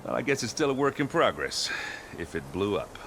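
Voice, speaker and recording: A man playing an easygoing, gruff warrior sidekick in a video game, with a casual, wry, drawn-out delivery. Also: medium pitch, very animated, fairly bright tone, a little hiss or hum. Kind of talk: video game cutscene acting.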